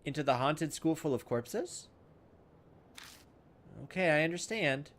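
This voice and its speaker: A man hosting a let's play, reading game text aloud and adding animated, casual asides. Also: fairly high pitch, unhurried. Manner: animated, casual asides between read-out lines. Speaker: gameplay host. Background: game music.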